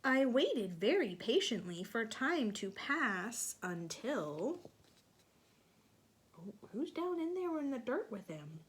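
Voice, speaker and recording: A woman reading a picture book aloud to young children, gently asking them questions and sometimes dropping to a soft whisper. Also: on the high side, big pitch swings, slow pace, slight background noise.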